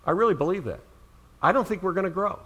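Middle-aged pastor guy from ohio preaching, high bright voice, earnest, punches key words. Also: lively intonation, fast talker, husky. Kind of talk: sermon.

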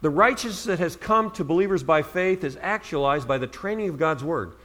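A man's voice: fairly high pitch, expressive, brisk, a bit bright. A middle-aged man from Ohio preaching a sermon as pastor, earnest, with emphatic rises on key words.

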